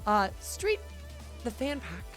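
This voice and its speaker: A woman's voice: on the high side, sing-song, takes it slowly, faint music behind. A woman hosting a live gaming show, chatting in a playful, giggly way. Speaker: stream show host. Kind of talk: casual live-stream chat.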